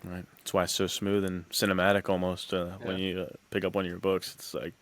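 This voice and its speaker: A man's voice: low-pitched, completely flat delivery, fairly quick, a bit bright. A man hosting a podcast, chatting casually and giving commentary on films and books. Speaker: podcast host. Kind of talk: casual chat, film commentary.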